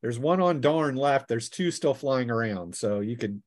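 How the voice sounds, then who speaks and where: A man runs a tabletop role-playing game in a mid-pitched voice with a close, dry sound. He narrates casually as game master and teases his players playfully. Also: expressive, normal speed, darkish tone, no room sound.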